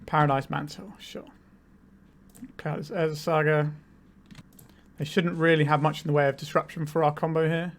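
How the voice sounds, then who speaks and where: A man's voice: on the high side, level tone, normal speed, pauses often. A man with a cold, a bit tired, casually explaining a card game deck and thinking aloud as he plays. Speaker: channel host, card game player. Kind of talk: casual gameplay commentary.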